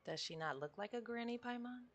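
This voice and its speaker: A woman's voice: a little high, expressive, fairly quick, a bit bright, slight background noise. A woman giving casual, slangy and giggly commentary as she streams a game. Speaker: streamer reacting to a game story quest.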